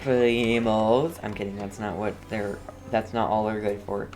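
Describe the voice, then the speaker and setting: Very low-voiced woman streaming games. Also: fairly even intonation, unhurried, a little mellow, faint music behind. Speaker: game streamer. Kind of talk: casual gameplay commentary.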